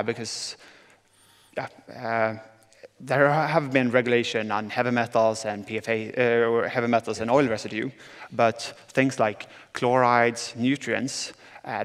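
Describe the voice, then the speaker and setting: A man's voice: medium pitch, fairly bright tone. A young man from southern Sweden with a Swedish accent, speaking as a panel guest with many hesitations. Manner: hesitant, many filler sounds. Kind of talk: panel talk on stage. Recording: reverberant conference hall.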